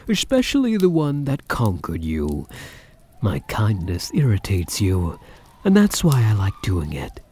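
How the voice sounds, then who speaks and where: A man playing a possessive, seductive vampire count in a soft-spoken ASMR roleplay, teasing and menacing in an intimate, deliberate way. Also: on the low side, full character voice. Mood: smug, teasing. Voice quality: soft, husky.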